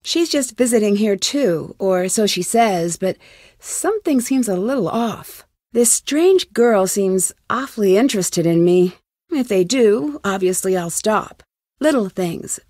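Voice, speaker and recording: A woman's voice: medium pitch, lively intonation, a little slow, a little mellow, no room sound, clean studio sound. An actress playing an earnest, determined young female amateur detective in conversational video game dialogue. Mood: intent, urgent. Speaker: lead character in a detective adventure game.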